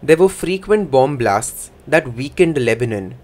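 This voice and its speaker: A man speaking a first-person voice-over interpretation at a middle pitch. His delivery is plain, even and measured, and he tells the account in short statements.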